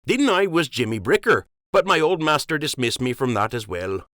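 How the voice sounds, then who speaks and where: A man's voice: mid-range voice, very animated, moderate pace, fairly bright tone, no room sound, clean studio sound, voice pushed up. A man voicing a rambling, cheerful rabbit dock worker who talks in a folksy, ungrammatical country dialect.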